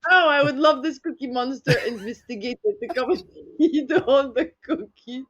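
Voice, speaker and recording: A woman's voice: high voice, moderate pace, a bit bright, muffled recording. A woman with an Egyptian accent whose first language is Arabic, a podcast guest who laughs often in a bubbly casual chat. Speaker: guest, tech founder.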